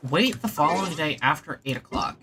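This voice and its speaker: A man doing excitable let's play commentary as a gaming streamer, chatty and gushing like a fan. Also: fairly high pitch, fairly quick, over music.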